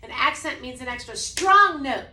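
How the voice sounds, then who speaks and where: A woman's voice: expressive, normal speed, pushed and bright. Chatty music teacher woman, echoey room. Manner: demonstrates by singing snippets, then speaks casually; says she has a low voice. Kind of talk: explaining a preschool music lesson.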